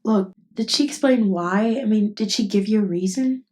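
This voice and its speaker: A woman's voice: mid-range voice, moderate pace, warm tone, close to an everyday voice. A woman voice acting a caring, indignant best friend in an intimate ASMR roleplay, speaking casually and bluntly. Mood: earnest, subdued. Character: protective, indignant female best friend comforting someone. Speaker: voice actress.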